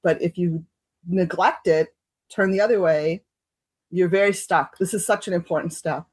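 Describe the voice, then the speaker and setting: A woman co-hosting a recovery podcast, talking thoughtfully and reading short passages aloud with slow pauses. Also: on the low side, fairly even intonation, slightly rough, dry recording. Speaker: podcast co-host. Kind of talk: reflective recovery group talk.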